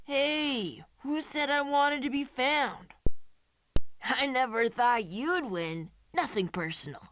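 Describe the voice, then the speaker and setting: A woman voicing a stubborn, grumbling young animal child in an animated prehistoric video game. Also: high voice, slow, a little hiss or hum, heavily acted.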